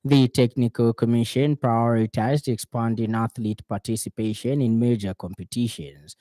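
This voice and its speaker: A man with a Zambian accent reading a scripted radio news bulletin in a formal, measured way. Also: on the low side, dark tone, no room sound.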